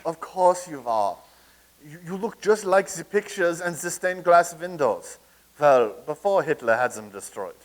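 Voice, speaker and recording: A man's voice: fairly high pitch, animated, measured pace, poor audio. An actor in a comedic staged reading playing a blustering, scoffing skeptic who stammers as he starts. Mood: nostalgic, matter-of-fact. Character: blustering skeptical man, stammering, naive admirer of tyrants.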